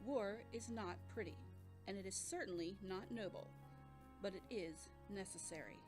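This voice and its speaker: Brisk, formal female weapons scientist played by an actress, composed and persuasive, slightly low voice, clear music underneath. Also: lightly acted. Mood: firm, unapologetic. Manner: formal, matter-of-fact, persuasive. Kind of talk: audio drama acting.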